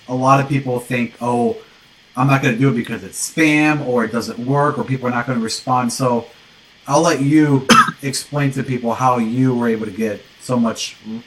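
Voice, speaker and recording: Male interviewer, American accent, medium pitch; casual instructor type, halting phrasing, rewords as he speaks. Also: a bit bright, rough recording. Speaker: interviewer, online course instructor. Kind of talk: informal interview.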